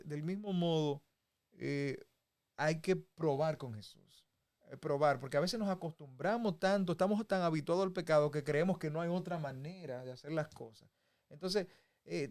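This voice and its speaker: Man giving a homey Bible talk. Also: on the high side. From Dominican Republic. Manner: folksy, with homey examples and chuckles. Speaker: preacher. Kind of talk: conversational Bible teaching.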